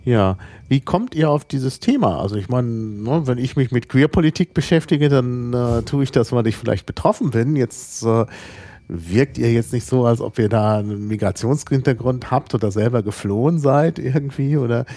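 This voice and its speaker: A man hosting a podcast, introducing his guests and asking questions in a relaxed way. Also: medium pitch, normal speed, no echo. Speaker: podcast host. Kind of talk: casual podcast interview.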